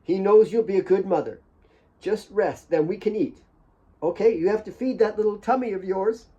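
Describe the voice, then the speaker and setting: A man's voice: high voice, moderate pace, mellow, highs cut off, pitched above the performer's own voice, natural voice. A man voicing a big, motherly woman who coaxes and reassures tenderly. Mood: soothing. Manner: coaxing, reassuring.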